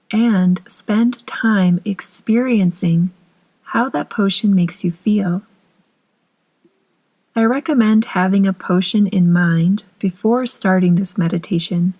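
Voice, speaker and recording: Female meditation guide, mid-pitched, very slow delivery, soothing and gently instructive.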